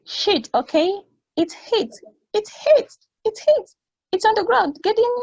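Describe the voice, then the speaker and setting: A woman playing an excitable friend giving guidance in a table read of a stage play. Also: very high-pitched, unhurried, gravelly, no echo, highs cut off, exaggerated voice. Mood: urgent, excited. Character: excitable woman guiding her friend over the radio link.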